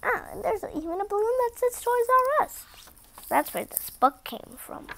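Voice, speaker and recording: A young girl reading a storybook aloud with careful, expressive emphasis, a few stumbles and her own asides. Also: very high-pitched, normal speed, gravelly. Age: child.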